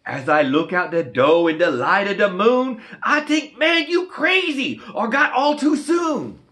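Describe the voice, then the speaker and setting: Excitable high-pitched Cajun storyteller. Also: male voice, a little slow. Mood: excited, amazed. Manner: exuberant, declamatory, singsong rhyming delivery. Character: excitable folksy Cajun man telling a Christmas tale. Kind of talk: rhymed storybook dialect read-aloud.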